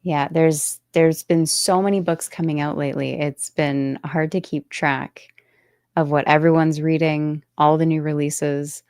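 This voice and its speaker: Low-voiced woman hosting a book chat. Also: level tone, a little slow, darkish tone, no room sound, studio quality. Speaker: host of a book interview show. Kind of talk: casual book chat interview.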